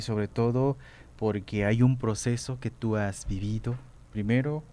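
Man, radio host, low voice, mellow tone, interview style. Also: slight background noise. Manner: greets listeners formally, affirms with short murmurs.

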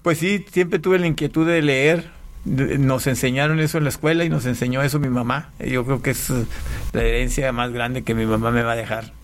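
Man from Panama, halting pastor. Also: medium pitch, fairly even intonation. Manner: halting, with frequent restarts and repeated words. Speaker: pastor and guest. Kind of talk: conversational testimony.

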